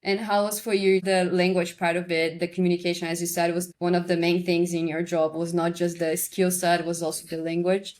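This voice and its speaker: A woman's voice: fairly low pitch, level tone, fairly quick, few pauses, a little mellow. A woman hosting a podcast interview, asking questions and affirming her guest in an encouraging way. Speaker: podcast host. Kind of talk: interview conversation.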